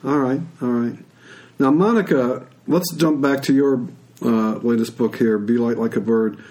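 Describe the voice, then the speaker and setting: Male interview host, medium pitch, casual conversational delivery. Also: a little slow, a little mellow.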